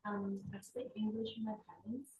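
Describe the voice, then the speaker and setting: A cheerful woman chats about herself in a class with a faint hiss underneath. Her pitch sits in the middle range. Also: fairly even intonation, normal speed, phone-quality sound. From Malaysia. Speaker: class participant. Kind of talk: casual introduction.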